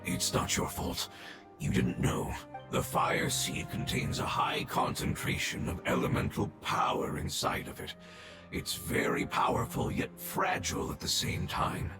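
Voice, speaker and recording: An actor playing an ancient, dying guardian creature in a video game, weary and reverent, speaking haltingly in a breathy rasp. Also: male voice, close to an everyday voice. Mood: cautious. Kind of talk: scripted video game voice acting. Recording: reverberant voice under loud music.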